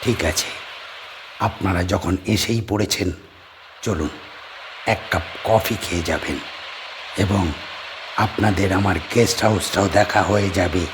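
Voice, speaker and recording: Guy doing a deep-voiced lonely guesthouse owner, polite and measured, faint hiss. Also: fairly even intonation. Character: lonely widowed male guest-house owner on an island. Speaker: D'Souza. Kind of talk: audio drama acting.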